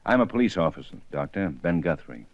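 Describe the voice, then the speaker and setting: A blunt, matter-of-fact detective with a very low, heavy voice. He presses his questions firmly and never raises the pitch much. The sound is dry and dull, like an old radio broadcast. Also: big pitch swings, fairly quick, dry recording. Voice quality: terse, firm, matter-of-fact. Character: steady, blunt male police detective. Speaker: police detective. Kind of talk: 1950s radio drama acting.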